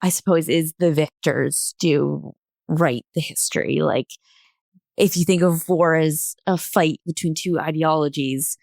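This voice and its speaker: A woman's voice: low-pitched, steady tone, a little slow, gravelly, a little mellow, dry recording, clean studio sound. A woman speaking as a podcast guest in a thoughtful panel discussion. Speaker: podcast guest, theatre artist.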